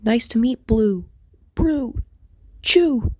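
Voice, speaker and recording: A woman's voice: on the high side, expressive, extremely slow, mellow, a little hiss or hum. A woman voicing a small, eager male robot medic in an audio drama, prone to glitchy verbal stumbles. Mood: eager, earnest. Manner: stumbles into wrong rhyming words.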